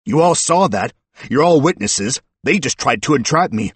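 A man's voice: medium pitch, big pitch swings, moderate pace, vocal fry, husky, no echo, voice pushed up, exaggerated voice. A man voicing a blustering, cornered middle-aged man who turns from indignation to begging, in an audiobook story. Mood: indignant. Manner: loud, blustering, breaking into begging.

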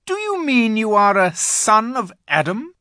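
An imperious witch queen from a storybook, acted out by a man, haughty and cold. The pitch is raised and swoops widely, stretching words with menace and sly sweetness. The sound is dry and close. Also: sing-song, sharp tone, dry recording, heavily acted. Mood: haughty, angry. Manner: haughty, commanding, drawn-out emphatic words. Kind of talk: audiobook character voice.

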